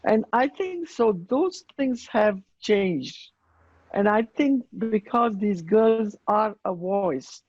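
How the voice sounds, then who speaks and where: An earnest woman speaks slowly, with a gravelly, fry-tinged voice. Her answers come with frequent pauses and fillers. The sound is dry and muffled, like lo-fi video call audio. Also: medium pitch, darkish tone, dry recording. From Afghanistan. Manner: earnest, unhurried, many fillers. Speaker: panelist running girls' schools. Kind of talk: panel discussion answer.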